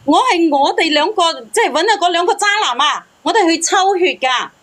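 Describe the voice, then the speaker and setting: A woman's voice: extremely high pitch, animated, a little fast, a bit bright. A woman calls in to a talk show. She pleads her case in a defensive, protesting manner. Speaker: caller. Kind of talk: pleading call-in confession.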